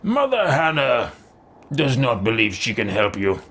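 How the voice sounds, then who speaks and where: A man's voice: medium pitch, wildly swooping pitch, unhurried, fairly bright tone, full character voice. A man roleplaying an earnest, commanding male fantasy warrior from a video game, formal and projected.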